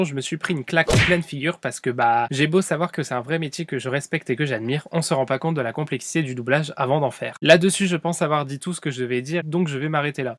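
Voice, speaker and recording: A man's voice: fairly high pitch, no room sound. A young man narrating a chatty scripted vlog as its creator, with an informal, joking, self-deprecating manner. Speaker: video creator and animator.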